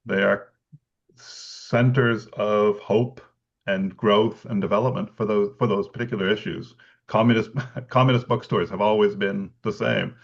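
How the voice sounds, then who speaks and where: A male researcher speaking thoughtfully on a video call, pausing and restarting often with light laughs. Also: fairly low pitch, steady tone, vocal fry. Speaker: bookselling researcher guest. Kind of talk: conversational explanation. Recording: dull lo-fi call audio.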